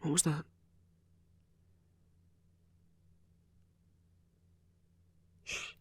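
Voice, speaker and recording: A man playing a smitten, idealistic villain in an intimate ASMR roleplay, with a husky, often whispered voice and an earnest, coaxing manner. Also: a little fast, dry recording, studio quality, close to an everyday voice. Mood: hushed, coaxing. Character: romantic male villain, smitten, idealistic, urging a lover to flee.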